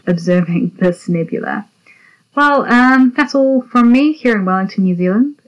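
A woman's voice: fairly low pitch, fairly bright tone. A woman from South Africa presenting a guide to the night sky. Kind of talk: astronomy sky guide narration. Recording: dull lo-fi audio.

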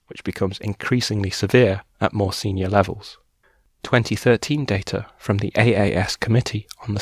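A man reading a scripted news segment on a podcast in a measured, formal way. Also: fairly low pitch, breathy edge, dry recording. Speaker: news presenter.

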